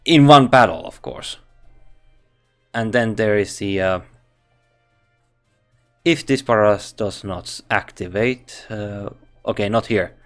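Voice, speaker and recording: A man with a Finnish accent reviewing a game character, thinking aloud in halting English with frequent restarts. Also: on the low side, faint music behind. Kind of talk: casual game commentary.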